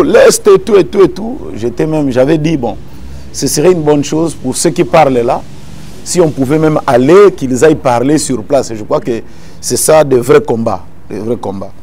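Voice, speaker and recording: Mellow-voiced middle-aged pastor explaining calmly. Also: male voice, mid-range voice, gravelly, a little hiss or hum. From born in Kinshasa, Congo. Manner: measured, retelling events with repeated phrases. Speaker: gospel singer and pastor. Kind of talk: calm explanatory interview answers. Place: indoor room with potted plants.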